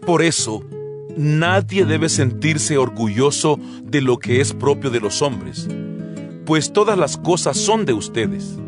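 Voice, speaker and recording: A man's voice: on the high side, lively intonation. Solemn male apostle over music. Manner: solemn, measured, rhetorical delivery. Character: apostle Paul, earnest exhorting male letter writer. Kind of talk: dramatized Bible reading. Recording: music bed behind the voice.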